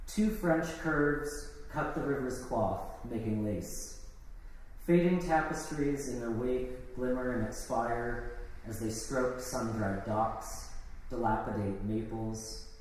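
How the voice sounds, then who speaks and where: A man giving a live poetry reading of his own work, with casual introductions between pieces. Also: medium pitch. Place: live event in an art gallery. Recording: echoey, noisy hall, distant microphone.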